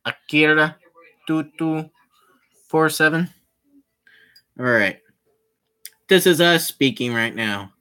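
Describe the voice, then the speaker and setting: Slow, halting game streamer with bright voice. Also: mid-range voice, lively intonation, smooth. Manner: halting, reads out who is speaking or thinking. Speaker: gaming streamer. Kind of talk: live gaming commentary, reading visual novel dialogue aloud.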